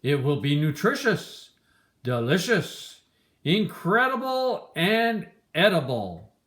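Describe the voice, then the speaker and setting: A woman voicing a hungry, showman-like traveler in a storybook, proclaiming in a drawn-out way. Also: on the low side, very animated. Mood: grand, showy. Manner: loud, slow, drawn-out proclaiming. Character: two hungry, hearty, showman-like travelers selling magical soup.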